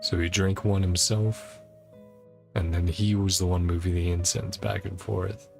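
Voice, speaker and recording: A man's voice: low voice, steady tone, a little slow, vocal fry, darkish tone. A man doing casual video game commentary, thinking aloud as he pieces a mystery together, with game music behind him. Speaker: streamer playing a video game.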